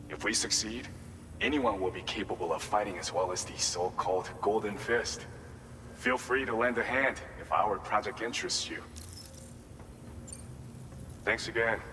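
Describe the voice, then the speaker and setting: A man voicing an earnest, humble former boxing champ in a video game, speaking in a measured, plain-spoken, sincere way. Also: fairly low pitch, bright, forceful tone. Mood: encouraging. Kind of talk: video game cutscene dialogue and briefing. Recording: reverberant hall, background vehicle noise.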